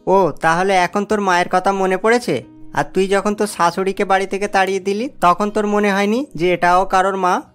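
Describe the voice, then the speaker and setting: A man's voice: sits high, level tone, fairly quick, a bit bright, clear, resonant tone. A man plays an irritable cartoon husband and son. He scolds in a curt, impatient way over faint background music. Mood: stern. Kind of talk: cartoon story voice acting.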